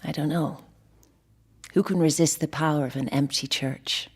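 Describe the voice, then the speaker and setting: Casting: gentle, devout singer for radio play. Lower voice, earnest, sincere, long reverb. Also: female voice, steady tone, normal speed, slightly rough. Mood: earnest, reverent. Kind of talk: naturalistic audio drama acting. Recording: reverberant hall-like church acoustic.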